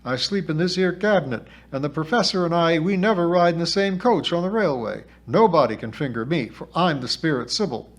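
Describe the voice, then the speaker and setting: A man's voice: on the high side, lively intonation, fairly quick, hardly any pauses, voice pushed up. A man voicing a scrawny, brash twelve-year-old fake spirit girl with a whiny, hollow voice that drops its aitches, in a storybook reading. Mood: boastful, defiant. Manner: brash, spitting retorts, dropped aitches.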